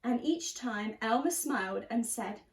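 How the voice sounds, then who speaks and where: A woman reading a children's story aloud like a teacher, expressively and deliberately, stressing key words. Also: on the high side, lively intonation. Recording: roomy, echoey sound.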